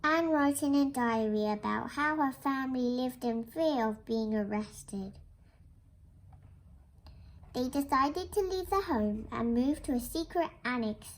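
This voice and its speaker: Little girl reading a kids book out loud, really high voice, bit of noise behind her. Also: measured pace. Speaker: reader of a children's book. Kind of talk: read-aloud children's storybook narration. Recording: clear background noise.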